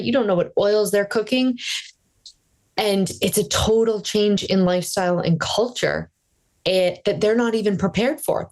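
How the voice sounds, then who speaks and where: A woman's voice: mid-range voice, a little mellow. A woman hosting a conversational interview, speaking thoughtfully and emphatically, rephrasing her points as she goes. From Italian heritage.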